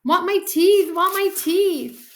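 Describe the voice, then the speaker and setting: A woman voicing an excitable little toddler boy in a storybook, using baby talk and short repeated phrases. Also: sits high, slow pace, voice pushed up, exaggerated voice. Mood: wailing demand.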